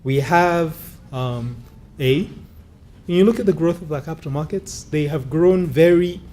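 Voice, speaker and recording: A man giving a formal conference speech, measured, with frequent fillers. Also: fairly high pitch, dark tone. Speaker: conference speaker. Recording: faint background noise.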